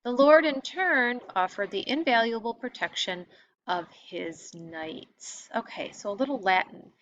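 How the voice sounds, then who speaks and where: A woman teacher reading a textbook lesson aloud in an expository way, pausing to stress key terms. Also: fairly high pitch, expressive, fairly bright tone. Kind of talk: read-aloud lesson narration. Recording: dull lo-fi audio with clear background noise.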